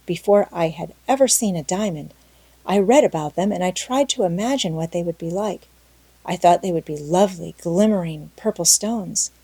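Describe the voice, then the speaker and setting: A woman's voice: mid-range voice, dark tone, breathy edge, no echo. A woman voicing a talkative, dreamy young orphan girl in an audiobook, gushing earnestly and dramatically. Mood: admiring, wistful. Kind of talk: audiobook character acting.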